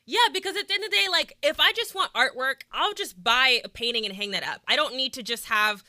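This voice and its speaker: A young woman from Texas hosts a laid-back podcast conversation, talking frankly and chattily with plenty of fillers. Her voice is very bright and edged, sitting a little high, and the sound is close and dry. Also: brisk, no room sound. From Texas, USA. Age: young adult. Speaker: podcast host. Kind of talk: casual podcast chat.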